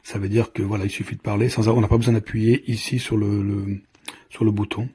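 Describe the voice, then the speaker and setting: Husky, low-toned man demonstrating a product. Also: flat delivery, warm tone. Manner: hesitant, halting explanation with trailing restarts. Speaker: product reviewer. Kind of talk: casual hands-on product demonstration.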